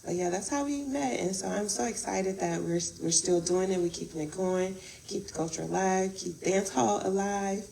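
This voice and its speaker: Gravelly lowish woman from chicago, chatty, faint background noise. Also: moderate pace, hardly any pauses, warm tone, poor audio. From raised in Chicago. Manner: chatty, laughs often. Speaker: guest, event producer. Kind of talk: casual interview.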